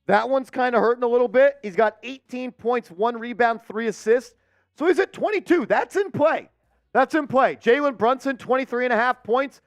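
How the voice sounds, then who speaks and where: High, clear-voiced male stream host. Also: normal speed. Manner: excited, calls plays and reads chat. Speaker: watch party host. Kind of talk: live-stream watch party chat and play-by-play.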